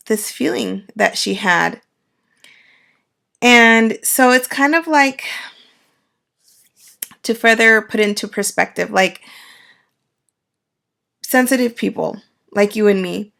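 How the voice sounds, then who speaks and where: Slow, gravelly-voiced woman coach. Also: medium pitch. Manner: soft, unhurried and reflective, with many fillers. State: raspy from a cold. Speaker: astrology and life coach. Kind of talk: personal talk to camera.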